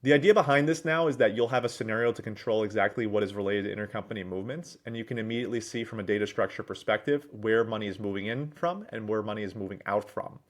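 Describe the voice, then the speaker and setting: A man narrating a business software demo in a businesslike, explanatory way, with wordy explanations. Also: lowish voice. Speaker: presenter, managing director at a software consultancy.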